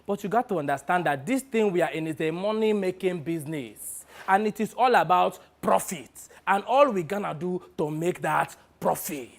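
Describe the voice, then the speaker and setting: A man playing a brash, wheedling, money-minded talent agent with a Nigerian accent in a dramatic monologue, animated and full of exclamations. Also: high-pitched, moderate pace, vocal fry, hardly any pauses. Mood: persuasive, firm. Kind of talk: one-person dramatic monologue.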